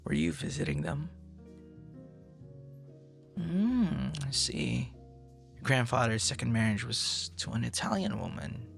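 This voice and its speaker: A man's voice: mid-range voice, creaky, a bit bright, exaggerated voice. A man performing an audio roleplay as a friendly, easily flustered young traveler chatting intimately and casually with a stranger, teasing and stammering playfully. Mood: curious, admiring. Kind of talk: intimate boyfriend-style ASMR roleplay, casual conversation.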